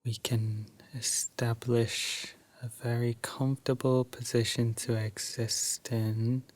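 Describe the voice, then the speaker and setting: A man guiding a sleep meditation in a soft, drowsy, soothing voice with long pauses, at times breathy and close to a whisper. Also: mid-range voice, little pitch movement, no echo. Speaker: meditation guide. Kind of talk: guided sleep meditation, Yoga Nidra.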